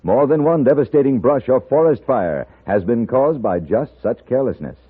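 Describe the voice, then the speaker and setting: A man's voice: medium pitch, expressive, moderate pace, slightly rough. A man announcing in 1950s radio style, emphatic, polished and instructive. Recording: narrow-band 1950s radio recording.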